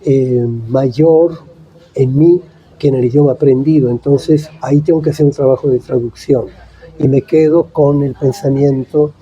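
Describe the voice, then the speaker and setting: A man in his seventies, a native Italian speaker, giving thoughtful, measured interview answers with frequent pauses. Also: medium pitch, fairly even intonation, mellow, muffled recording. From Italy. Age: 70s. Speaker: guest writer.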